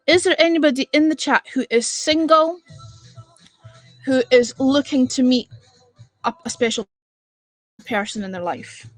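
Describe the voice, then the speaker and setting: Blunt high-voiced Scottish woman. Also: measured pace, pauses often, no room sound, soft background music, poor audio. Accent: Edinburgh Scottish. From Scotland. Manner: blunt, exasperated and sweary. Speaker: livestream host. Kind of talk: casual live-stream chat with viewers.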